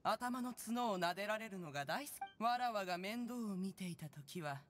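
A woman's voice: mid-range voice, animated, moderate pace, lightly acted. A woman voicing a proud, ancient, motherly crane immortal in an anime game, speaking in an imperious, archaic, teasing way. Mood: fond reminiscing. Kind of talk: Japanese anime game voice acting. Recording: music behind.

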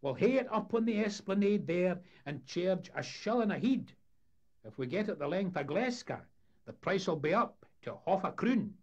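Storybook role: eager, boastful young Scottish deckhand, played by a man, high, animated showman's patter. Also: normal speed, breathy edge, lo-fi sound, heavily acted. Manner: enthusiastic, salesman-like patter. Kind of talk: storybook character voice in humorous tale.

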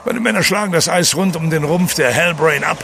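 Gruff ship captain, creaky voice, firm and curt, radio drama w/ noisy outdoor background. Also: male voice, on the high side, fairly quick, pushed and bright. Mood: calm, firm. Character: gruff, authoritative ship's captain, level-headed. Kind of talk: German audio drama acting.

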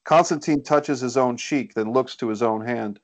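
A man's voice: medium pitch, normal speed. A man reading scripted stage directions as narrator of a screenplay table read. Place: indoors on a group video call.

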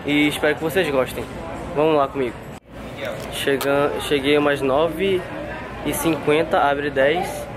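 A man's voice: on the high side, steady tone, unhurried, poor audio. A young man from Brazil, chatting upbeat to viewers while filming himself at arm's length in a busy store. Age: young adult. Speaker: travel vlogger. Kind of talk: casual vlog narration to camera. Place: busy electronics store and street outdoors. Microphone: handheld camera at arm's length. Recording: handheld camera in a crowded store. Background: loud crowd and music.

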